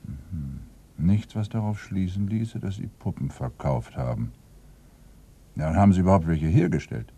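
An actor playing a weary, dogged middle-aged police inspector in a radio crime play, dry and laconic in manner. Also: male voice, sits low, animated, moderate pace, mellow, slightly rough, exaggerated voice. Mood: brooding. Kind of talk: German radio play acting.

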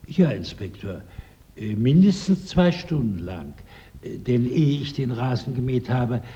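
An actor playing a plain-spoken, unruffled gardener, deliberate and matter-of-fact, in a radio crime drama. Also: male voice, medium pitch, expressive, mellow. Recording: dull, muffled radio drama recording.

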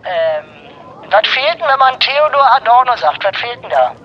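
A bright, high, bouncy teenage boy, as a man puts him on, cheeky and dim, who stammers and teases down a muffled phone line. The pitch leaps all over. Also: very animated, moderate pace. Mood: teasing. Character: cheeky teenage boy, a 17-year-old little brother. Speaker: phone caller.

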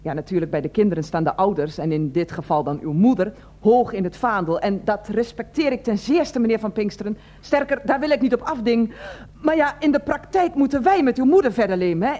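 An actress playing an older, self-righteous head of a residents' association in a radio play, wheezy, formal and repetitive. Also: female voice, mid-range voice, expressive, fairly quick, warm tone, natural voice. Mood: politely aggrieved. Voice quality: wheezy, asthmatic breathing. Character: fussy, indignant older woman heading a residents' association. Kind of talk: Dutch radio play acting.

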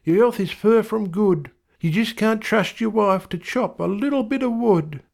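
A man putting on a high, scolding Aussie wife. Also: a little slow, creaky, darkish tone. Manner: firm, motherly, scolding. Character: fussy, firm young wife nursing her husband.

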